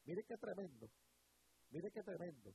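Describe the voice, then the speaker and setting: Middle-aged man, radio pastor, preaches with fervor and repetition, a touch high-voiced, over audible hiss. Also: big pitch swings, mellow, rough recording. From Puerto Rico. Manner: fervent preaching with repetitions and drawn-out emphasis. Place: radio studio. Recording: hissy broadcast recording.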